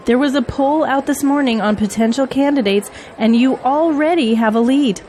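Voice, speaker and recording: A woman's voice: high-pitched, moderate pace, a little mellow, a little hiss or hum, lightly acted. A woman acting in an audio drama as a busy, earnest political campaign manager and single mother. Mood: businesslike, driven.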